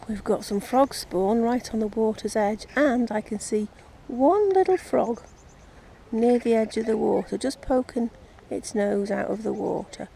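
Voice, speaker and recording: An English woman chatting casually and gently as she narrates a nature vlog outdoors. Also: fairly high pitch, measured pace, vocal fry, poor audio. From England. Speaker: vlogger. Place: outdoors in an urban park. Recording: outdoor ambient noise, birdsong. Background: birds and park ambience.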